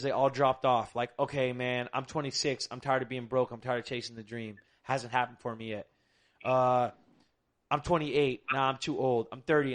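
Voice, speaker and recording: A young adult man hosting a podcast, talking bluntly and emphatically with lots of swearing. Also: medium pitch. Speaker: podcast host. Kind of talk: casual podcast chat.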